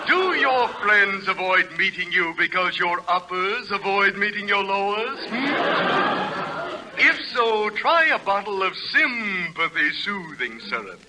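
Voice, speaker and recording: An American actor playing a pompous, mock-earnest pitchman in a parody 1940s radio commercial. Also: expressive, a little slow, a loud crowd. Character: smooth-talking mock radio pitchman for quack remedy. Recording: noisy old radio, loud audience.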